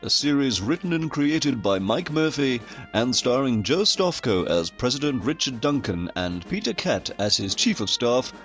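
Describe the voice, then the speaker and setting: A male announcer with a mid-pitched, very expressive voice. He reads a mock-grand radio drama introduction with music clearly behind him. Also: normal speed. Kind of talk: tongue-in-cheek scripted radio introduction.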